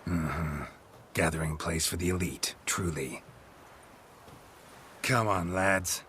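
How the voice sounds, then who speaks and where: An actor playing a gruff, weary, sardonic monster hunter in a video game, speaking in a dry, blunt way. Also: male voice, sits low, slow pace, pushed and bright, slight background noise, full character voice. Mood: menacing mockery. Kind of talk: video game cutscene acting.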